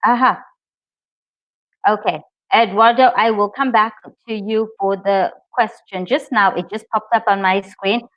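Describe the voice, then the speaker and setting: A woman whose native language is English, teaching a live online IELTS lesson, speaking slowly and clearly and cheering her students on. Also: medium pitch, steady tone, dry recording, lo-fi sound. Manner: slow, clear, encouraging, reads out student comments.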